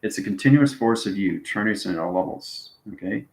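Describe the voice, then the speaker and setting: A man with a fairly deep voice teaches informally to a live online audience. He circles back over his phrases and punctuates them with little check-ins like "okay" and "right." The sound is noticeably roomy and echoing. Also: animated, brisk, creaky. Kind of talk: informal live lesson to viewers.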